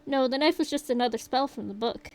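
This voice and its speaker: A woman voicing a shaken young survivor, reading the account aloud in a steady, rueful way. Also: high-pitched, fast. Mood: grim retelling. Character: shaken young survivor recounting a horror night.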